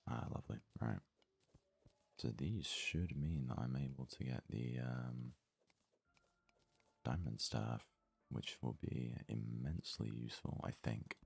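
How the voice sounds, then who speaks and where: A man giving casual ASMR let's play commentary in a very soft, hushed, breathy voice, murmuring his thoughts aloud without hurry. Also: a bass voice. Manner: unhurried, murmured thinking aloud. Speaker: gaming video host.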